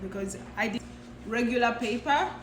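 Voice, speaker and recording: A woman's voice: on the high side, moderate pace. A young woman who grew up in Uganda, chatting casually as she bakes in her home kitchen and thinks aloud while she works. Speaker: baking vlogger. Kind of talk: casual kitchen vlog talk. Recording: roomy kitchen with background noise.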